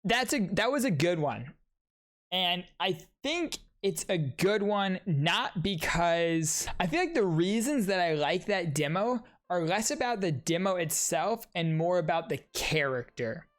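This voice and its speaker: A man's voice: high-pitched, animated, measured pace, a bit bright. A young man reacting to game trailers as a content creator, talking in a casual, rambling, chatty way. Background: game trailer music.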